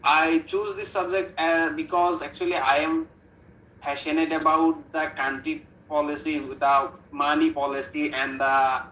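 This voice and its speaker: A young man with a Bangladeshi English accent gives long, searching answers, his voice bright and a touch high. The call is echoey with faint noise. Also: flat delivery, measured pace. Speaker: practice student. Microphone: video call.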